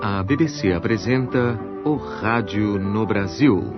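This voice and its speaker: A man's voice: lowish voice, takes it slowly. Radio announcer guy introducing a show, muffled old recording, music blasting behind. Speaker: programme announcer. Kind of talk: scripted radio programme announcement. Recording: dull archival radio recording. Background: loud music.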